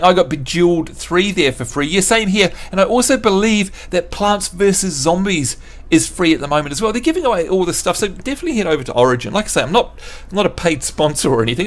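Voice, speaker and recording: A man's voice: high-pitched, expressive, fast talker. A man from New Zealand chatting casually with his live-stream viewers, playful and teasing as he quizzes them. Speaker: game streamer.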